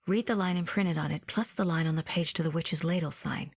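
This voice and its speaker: Video game lead: focused, determined female federal investigator, slightly low and breathy, measured, serious read, close dry sound. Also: no room sound. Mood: concerned, focused. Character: determined, focused female FBI agent working a murder case. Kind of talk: scripted video game dialogue and inner monologue.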